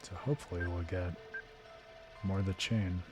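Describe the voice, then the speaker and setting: A hoarse man hosting a live game stream, talking through his thoughts in a thoughtful, digressive way with frequent restarts. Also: low-pitched, fairly even intonation, normal speed, music in the background. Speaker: streamer and host.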